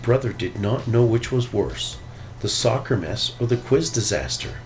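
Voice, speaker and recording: Animated man reading a children's story. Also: medium pitch, normal speed, faint music behind, poor audio. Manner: expressive read-aloud delivery. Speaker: storybook reader. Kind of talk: scripted children's story narration.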